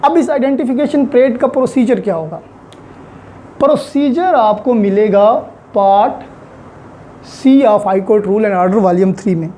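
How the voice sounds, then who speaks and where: Pakistani woman lecturing, mellow and unhurried. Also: fairly high pitch, a little hiss or hum. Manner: didactic, repeats key points for emphasis; mixes English terms and phrases into speech. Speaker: law lecturer. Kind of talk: explanatory lecture with worked examples.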